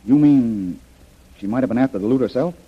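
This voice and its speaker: An actor playing a decisive, troubled adventurer man with a husky voice in an old-time radio adventure. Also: medium pitch, brisk, lightly acted. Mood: wary. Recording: dull old radio recording.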